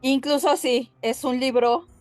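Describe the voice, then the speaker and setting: A woman with a Mexican Spanish accent co-hosting a podcast, explaining things casually and haltingly as she addresses her listeners. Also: high-pitched, steady tone, no echo, faint music behind. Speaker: podcast co-host.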